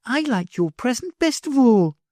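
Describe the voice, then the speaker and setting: A man reading aloud, voicing a gentle, timid young girl who speaks softly. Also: very high-pitched, sing-song, a little slow, dry recording, studio quality, pitched above the performer's own voice, exaggerated voice. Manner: soft, kind.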